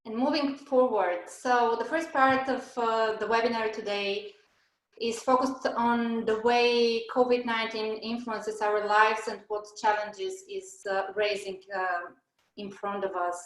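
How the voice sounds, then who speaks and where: A woman's voice: fairly high pitch, fairly even intonation, a little slow, roomy sound, poor audio. A woman from Bulgaria in her thirties hosting a business webinar over a video call, hesitant with many fillers and restarts. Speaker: webinar host. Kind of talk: presenting a webinar.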